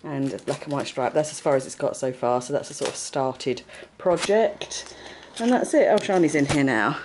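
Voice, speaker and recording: A woman's voice: deep voice, moderate pace, a little mellow, a little hiss or hum. Chatty English woman vlogging crafts. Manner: chatty, upbeat, lots of fillers. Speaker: vlogger, craft shop owner. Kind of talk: casual vlog chat. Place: craft studio and shops.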